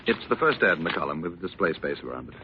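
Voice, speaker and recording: Low-voiced sardonic radio villain. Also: male voice, very animated, fast talker. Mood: gloating. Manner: mockingly polite, drawling endearments, theatrical. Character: sardonic, menacing crippled politician, taunting his wife. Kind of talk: 1940s radio drama acting. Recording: muffled, noisy old radio recording.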